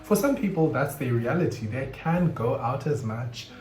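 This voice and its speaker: A young man, chatting casually to camera in an animated way and breaking into sudden high squeals. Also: normal speed. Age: 20s. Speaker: vlogger. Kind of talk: casual confessional chat to camera. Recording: roomy echo, faint guitar music behind. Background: faint guitar music.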